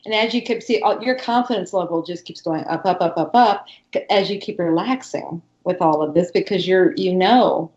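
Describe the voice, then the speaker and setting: A woman hosting a casual interview, encouraging and quick to laugh, with rambling restarts. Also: lowish voice, darkish tone, roomy sound, highs cut off. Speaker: podcast host.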